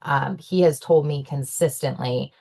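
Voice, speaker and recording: A young woman with a low-pitched voice talks casually on a business panel. She is chatty and loose, full of fillers and restarts, and laughs easily. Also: steady tone, a little slow, creaky, mellow. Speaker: panelist, owner's daughter in the business.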